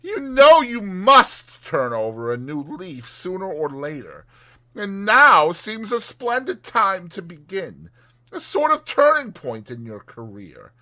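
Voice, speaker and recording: A man's voice: high voice, wildly swooping pitch, slow, sharp tone, pitched above the performer's own voice, heavily acted. A man voicing a firm, earnest water rat who kindly scolds a friend in a dramatized audiobook. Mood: stern but caring. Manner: emphatic, admonishing.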